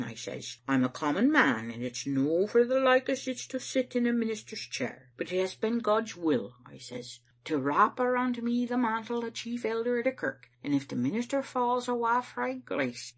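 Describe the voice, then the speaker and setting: A proud, stubborn Scottish church elder, acted out by a woman reader in a storybook. He is stern and weighed down by guilt. His voice is low and husky, with emphatic, dramatic swings in broad dialect. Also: exaggerated voice.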